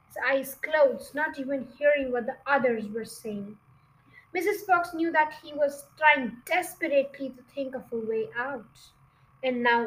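A woman's voice: sits high, a bit bright, rough recording. A woman reading a children's storybook aloud as narrator. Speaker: audiobook reader.

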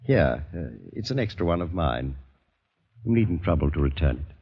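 An actor playing a suave, politely menacing older doctor in 1940s radio drama, speaking in measured, insinuating phrases. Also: male voice, sits low, sing-song, breathy edge, exaggerated voice. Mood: suavely polite. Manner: courteous, measured, insinuating storytelling. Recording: dull 1940s radio, long reverb.

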